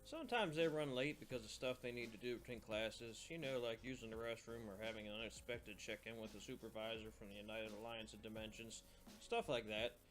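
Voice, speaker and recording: A man's voice: lowish voice, a little fast, fairly bright tone, soft background music, natural voice. A man voicing an easygoing male student who knows the ropes, casual and explanatory, in an audio story. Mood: casual, knowing.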